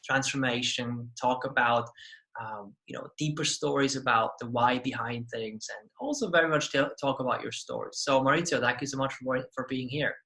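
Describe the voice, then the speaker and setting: A male podcast host with a bright, forceful voice at a middling pitch. He welcomes his guest and asks questions eagerly, often doubling his words as he talks. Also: moderate pace, poor audio. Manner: enthusiastic, repeats words. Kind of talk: interview hosting.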